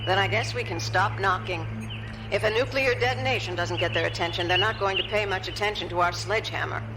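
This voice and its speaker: An actress plays an analytical female scientist in a radio drama. She speaks at a middling pitch in a measured, explanatory way. Clear music runs behind her briefing. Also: lightly acted. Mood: wry, thoughtful. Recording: music under the voice.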